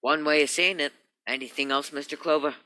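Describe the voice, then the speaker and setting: Stiff, proper male attorney for radio drama, acted by a man: very sharp bright tone, guarded and fussy. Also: fairly high pitch, steady tone, a little fast. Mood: evasive, haughty. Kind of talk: old-time radio crime drama acting.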